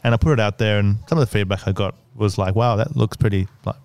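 Low smooth-voiced man, stuttering. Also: fairly even intonation, fast talker, a little mellow. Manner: hesitant, filler-heavy, stuttering. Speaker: guest, business owner. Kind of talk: casual podcast conversation.

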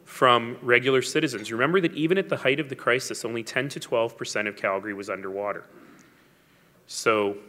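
A man's voice: mid-range voice, pushed and bright. A man giving a public speech as a mayor, telling stories with wry asides and emphasis. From Canada. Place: large hall. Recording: long hall reverb.

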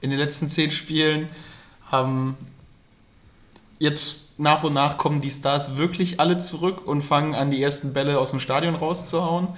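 A man's voice: on the high side, steady tone, normal speed, fairly bright tone. Joking man in an echoey room. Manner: joking, chatty. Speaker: podcast co-host. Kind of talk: casual chat.

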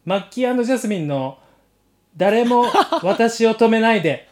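A man's voice: high voice, lively intonation, moderate pace, a little mellow. A man co-hosting a podcast with playful, teasing banter and frequent laughter. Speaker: podcast co-host. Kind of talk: playful banter, explaining quiz rules.

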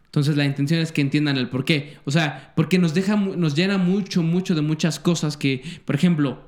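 A man co-hosting a casual podcast, animated and profane as he chats. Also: a little high, brisk. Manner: profane, animated, chiming in often. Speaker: podcast co-host. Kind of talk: casual crude banter.

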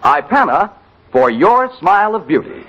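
A man's voice: sits high, big pitch swings, moderate pace, a bit bright. A man announcing a scripted 1940s radio commercial. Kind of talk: 1940s radio advertising read. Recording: muffled vintage radio broadcast.

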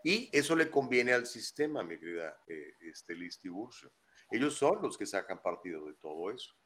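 Brief: lower-voiced male co-host, emphatic, repeats words to drive points home. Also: very animated, normal speed, fairly bright tone. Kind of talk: casual live news chat.

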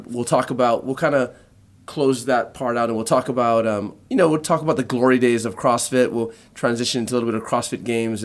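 Man, forties, podcast host, casual chat, rambling, restarts phrases. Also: medium pitch. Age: 40s. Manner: rambling, restarts phrases while laying out the show.

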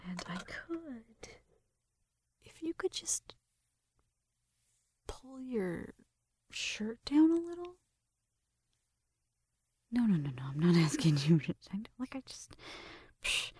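A woman acting a breathy, whispering, flirtatious roommate. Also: medium pitch, a little mellow, no room sound, exaggerated voice. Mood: bashful, flirtatious. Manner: hesitant, halting, tender. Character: shy, flirtatious female roommate giving an affectionate Halloween makeover. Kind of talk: intimate ASMR roleplay addressed to the listener.

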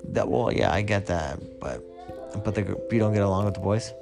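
A man's voice: on the low side, lively intonation, a little fast. A middle-aged man chatting casually as a podcast host, teasing and probing with short, playful questions. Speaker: podcast host, father interviewing his child. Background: music clearly audible.

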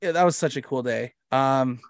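A man's voice: mid-range voice, moderate pace, dry recording, studio quality. A man with a Canadian accent hosting a podcast in casual chat, rambling, jokey and self-deprecating. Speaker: podcast host.

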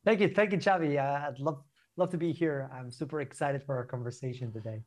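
A man's voice: medium pitch, fairly quick. A young man with a Spanish accent, chatting informally and discursively as a podcast guest, often checking in with "right?". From Barcelona, Spain. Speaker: podcast guest, startup co-founder. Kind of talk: casual interview conversation.

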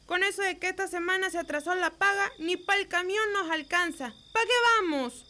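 A woman's voice: very high-pitched, normal speed, sharp tone. A woman acting a tired, humble Mexican peasant wife in an amateur radio drama, with rustic folksy speech. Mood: weary, resigned.

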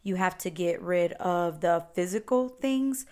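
A woman, hosting a solo podcast and chatting warmly as she answers listener questions. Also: lowish voice, lively intonation, a little slow, clear, resonant tone. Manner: chatty, encouraging, advice-giving. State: slightly raspy voice. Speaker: podcast host.

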